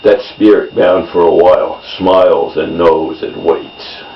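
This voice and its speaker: A man reading his own poems in a measured delivery, in short phrases. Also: sits low, fairly even intonation. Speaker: poet. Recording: roomy, distant mic, dull sound, faint noise.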